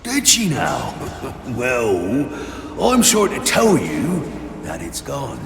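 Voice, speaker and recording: Gravelly, mocking undead pirate, drawling slowly. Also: male voice, mid-range voice, huge pitch swings, over music, full character voice. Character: sneering, teasing male undead pirate crewman. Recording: echoey reverb, noisy ambience.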